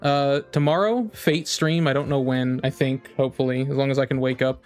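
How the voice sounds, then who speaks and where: A man's voice: medium pitch, fairly even intonation, fairly quick. A man with a mid-range voice chats casually on a game livestream. He rambles and circles back, repeating his own phrases. Music plays behind him. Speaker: game streamer.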